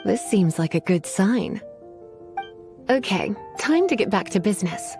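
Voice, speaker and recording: Teasing, lively-pitched witch librarian. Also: music in the background. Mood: composed, purposeful. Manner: coquettish, unhurried, playful. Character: flirtatious, teasing adult female witch librarian, composed yet menacing. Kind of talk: video game voice acting.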